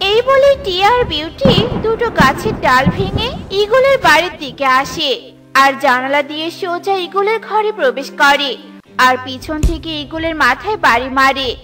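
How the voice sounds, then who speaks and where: Woman storyteller reading a kids cartoon tale, music clearly playing behind her. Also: very high-pitched. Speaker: storyteller narrator. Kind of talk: scripted children's story narration.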